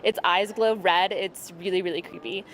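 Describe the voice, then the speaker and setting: A woman's voice: lowish voice, steady tone, normal speed, a bit bright. A woman visitor giving her opinion in a casual on-the-street interview.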